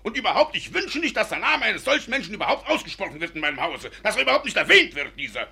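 A man's voice: high-pitched, sing-song, quick pace, pushed and bright, breathy edge, heavily acted. An actor in a German radio play, playing a pompous, doting middle-aged Russian landowner and father who is effusive and easily indignant.

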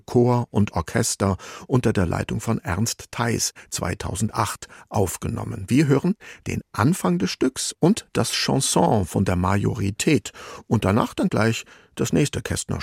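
A man reads a scripted radio introduction in a low, very gravelly voice. The tone is husky and the recording is dry and close. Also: a little mellow, no room sound. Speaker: radio presenter. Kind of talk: scripted narration, introducing music.

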